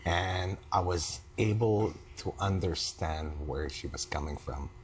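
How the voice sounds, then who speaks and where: A man speaking as a client in counseling, halting and searching for his words as he talks personally. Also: low voice, a little hiss or hum. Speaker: therapy client, husband.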